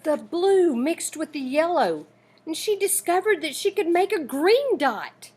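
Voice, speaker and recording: A woman reading a children's picture book aloud in an expressive, deliberate, dramatic storytelling manner. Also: very high voice.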